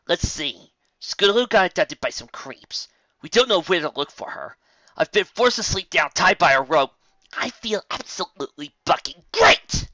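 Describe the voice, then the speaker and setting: Male reader doing a loud, raw, high-pushed tomboy pony mare, lo-fi dry take. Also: very animated, measured pace, hardly any pauses, dry recording, full character voice. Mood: sarcastic. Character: brash tomboyish female pegasus pony. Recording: dull lo-fi dry recording.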